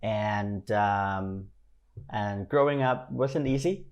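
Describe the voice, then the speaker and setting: A man's voice: lowish voice, slow, smooth. A man in his forties with a Thai accent, telling his own story conversationally as an interview guest. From Thailand. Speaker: podcast guest, fashion stylist and creative consultant.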